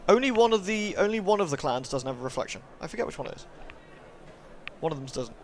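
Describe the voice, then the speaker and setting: A man's voice: on the high side, big pitch swings, quick pace, a little hiss or hum. A man livestreaming, switching between polite, thankful chat with viewers and reading narration aloud. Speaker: live streamer reading a visual novel aloud.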